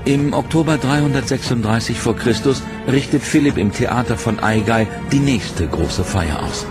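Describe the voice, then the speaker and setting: Man narrating a history documentary. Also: mid-range voice. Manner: measured, dramatic storytelling delivery. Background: loud dramatic music.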